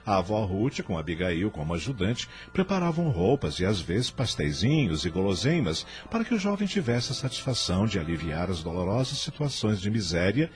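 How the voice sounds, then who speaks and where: A man's voice: lowish voice, very animated, brisk, few pauses. A man narrating a scripted religious radio drama in a solemn, literary storytelling manner. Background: soft music.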